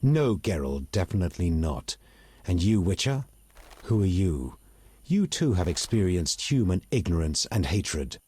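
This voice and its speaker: A man playing a proud, bitter elf rebel in a video game, articulate and measured, with open disdain. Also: deep voice, very animated, dark tone, breathy edge, full character voice. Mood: disdainful. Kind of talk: video game dialogue acting.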